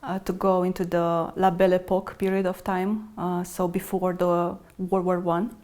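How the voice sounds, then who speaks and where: Soft-spoken woman, low voice. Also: flat delivery, moderate pace, a little hiss or hum. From Romania. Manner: soft, hesitant, with many restarts and fillers. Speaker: guest. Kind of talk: casual interview.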